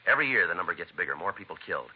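Fast-talking, low-voiced American police sergeant. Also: male voice, sing-song, breathy edge, full character voice. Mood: stern, scolding. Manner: clipped, low-key, matter-of-fact. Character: stern, fed-up, no-nonsense police sergeant. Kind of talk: 1950s radio drama acting and narration. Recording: muffled vintage radio recording, faint noise.

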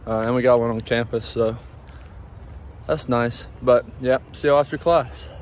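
A man's voice: medium pitch, steady tone, normal speed. Young man, college athlete, easygoing handheld vlog, plenty of "uh" and "y'all", noisy outdoor backdrop. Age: young adult. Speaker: college baseball player vlogging. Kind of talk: casual vlog narration. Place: outdoors on campus and in a campus restaurant. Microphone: phone camera held at arm's length.

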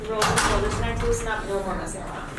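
A woman with a medium-pitched voice narrates script directions at a table read. She is far from the microphone in a very echoey room, with loud noise. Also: fairly even intonation, fast talker. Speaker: stage-direction reader. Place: meeting room around a long table, indoors. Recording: noisy echoey room, distant mic.

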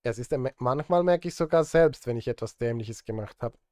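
A man's voice: medium pitch, a little fast, a little mellow, no room sound, studio quality. A man, chatting casually on a live stream as he works, with self-deprecating, joking asides. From Switzerland. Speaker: streamer and film composer. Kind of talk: casual live-stream chat while composing. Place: indoor streaming setup.

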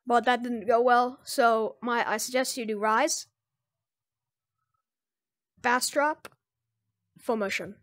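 A speaker giving a casual, off-the-cuff software tutorial in a loose, rambling manner as host. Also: medium pitch, steady tone, slow, pauses often, no room sound, clean studio sound. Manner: rambling, loosely strung instructions.

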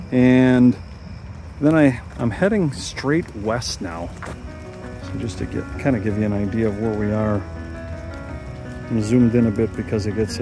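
A man narrating a casual walking tour outdoors into his handheld camera, musing and reflective, with pauses. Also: medium pitch. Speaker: vlog host, walking tour guide. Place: outdoors, walking a wooded path at dusk. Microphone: handheld camera held by the speaker.

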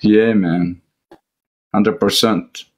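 Slow, halting man. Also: fairly low pitch, fairly even intonation, a little hiss or hum. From Syria. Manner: slow, halting English, searching for words. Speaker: live-stream debater. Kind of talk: casual live-stream debate chat. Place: home room with bookshelves.

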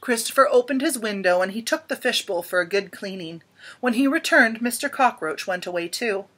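A woman in her thirties reading a children's picture book aloud in an expressive, dramatic storytelling manner with emphatic pauses. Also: on the high side, breathy edge. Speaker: storybook reader. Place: small indoor room at home.

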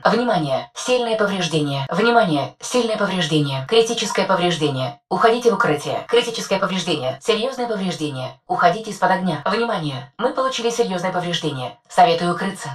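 A woman's voice: on the low side, far from the mic, natural voice. A woman voicing a composed, dutiful female combat robot AI in a video game dub, giving clipped, formal military status reports. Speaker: voice actor.

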